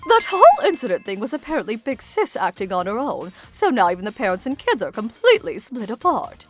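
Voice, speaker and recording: A woman playing a gentle, anxious, apologetic middle-aged mother in a manga dub, tender and emotional. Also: fairly high pitch, wildly swooping pitch, fairly quick, soft background music. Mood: sorrowful. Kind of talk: anime-style manga dub acting.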